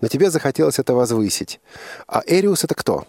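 Animated male radio interviewer, dry sound. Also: mid-range voice, fast, breathy edge, dry recording. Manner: repeats and rephrases questions, probing. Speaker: radio host interviewing a young guest.

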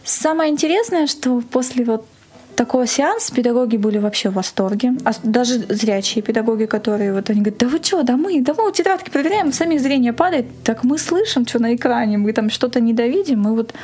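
A woman's voice: on the high side, quick pace, a little mellow, husky. A woman being interviewed as an event organiser, talking at length in a casual way and rephrasing for emphasis.

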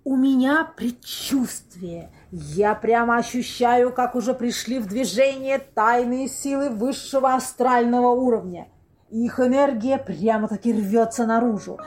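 A woman's voice: on the high side, measured pace, darkish tone, lightly acted. A woman playing a grandiose, eager séance medium with a theatrical, solemnly enthusiastic manner in an amateur audio play. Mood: mystical, excited.

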